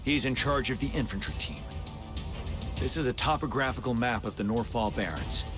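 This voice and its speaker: A man playing a composed, resolute army general in a game cutscene, giving measured, authoritative military briefings. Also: medium pitch, lightly acted. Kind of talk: scripted video game cutscene acting.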